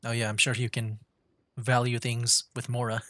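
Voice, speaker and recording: A man's voice: medium pitch, little pitch movement, normal speed, a bit bright. A man streaming a game with casual, easygoing, rambling commentary. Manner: easygoing, chuckling, rambling asides.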